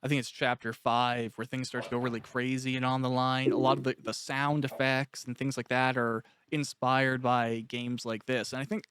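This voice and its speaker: A man's voice: medium pitch, flat delivery, moderate pace, few pauses, a bit bright, clean tone. A man hosting a casual, conversational interview as he chats with his guest. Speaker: interviewer, host of an audiobook cast interview.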